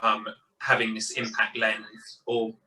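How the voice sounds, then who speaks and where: Give me a bright-voiced man interviewer, hesitant, a bit off-mic. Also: fairly low pitch, completely flat delivery, takes it slowly, recorded from a distance, poor audio. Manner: hesitant, many ums and repeated words. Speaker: interviewer, board chair. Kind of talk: hosting a webinar interview. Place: home study with bookshelves. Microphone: webcam on video call.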